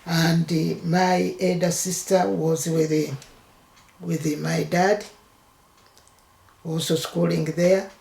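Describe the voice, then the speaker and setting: An older woman from Uganda with a Ugandan accent, telling her life story in an interview and speaking in halting phrases with many restarts. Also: fairly low pitch, level tone, gravelly, a little mellow, reverberant. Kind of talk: oral history interview.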